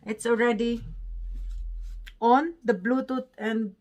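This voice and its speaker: A woman's voice: a little high, steady tone, slow, a little mellow. A woman with a Filipino accent chatting casually in non-native English as she presents an unboxing vlog. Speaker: vlogger.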